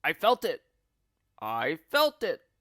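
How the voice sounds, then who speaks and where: A man's voice: fairly high pitch, wildly swooping pitch, slow pace, fairly bright tone, no echo, studio quality, heavily acted. A man voicing a grumpy, nasty husband from a children's storybook, blustering and indignant.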